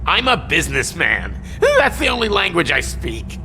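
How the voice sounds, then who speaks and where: Game crook: sardonic arcade boss, forceful bright tone, lively slippery delivery. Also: male voice, a little high, normal speed, slight background noise, exaggerated voice. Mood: bargaining. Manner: sardonic, smooth-talking. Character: smug, slippery arcade owner and drug distributor. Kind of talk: video game cutscene acting.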